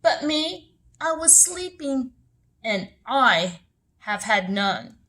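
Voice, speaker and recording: Storybook bear, male, sulky and wounded, slow emphatic delivery played by a female reader in her higher register. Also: big pitch swings, sharp tone, heavily acted. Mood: aggrieved, sulky. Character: grumpy slumbering bear, male, sulking over missed fun.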